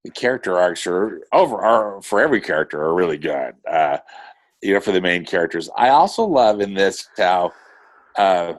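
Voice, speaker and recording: A man with a slightly low voice chats as co-host of a film podcast. He is earnest and halting, often rewording mid-thought. The recording is dry and close. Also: animated, no echo. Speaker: podcast co-host reviewing animated films. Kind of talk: casual film discussion.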